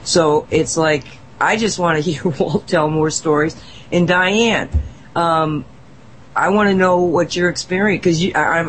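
A woman's voice: low-pitched, a little hiss or hum, low-quality recording. A woman on a talk show who speaks enthusiastically and effusively, laughing and restarting her sentences. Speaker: guest. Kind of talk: casual talk-show chat.

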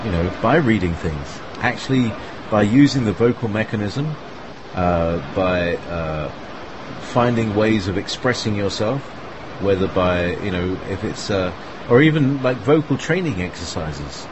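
A man's voice: on the low side, animated, unhurried. A man hosting an online group chat, talking in a loose, meandering way full of fillers and small laughs. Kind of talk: casual rambling talk. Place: online voice call. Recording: clear background noise.

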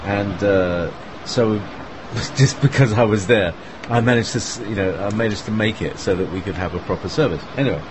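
A man's voice: lowish voice, normal speed, hardly any pauses. A man hosting an online group chat, talking in a loose, meandering way full of fillers and small laughs. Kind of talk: casual rambling talk. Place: online voice call. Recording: clear background noise.

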